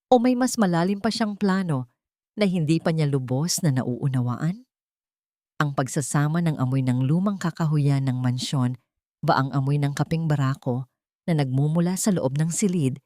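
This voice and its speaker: A woman's voice: low-pitched, darkish tone, no room sound, studio quality. A woman reading a dramatic scripted audiobook story as its narrator.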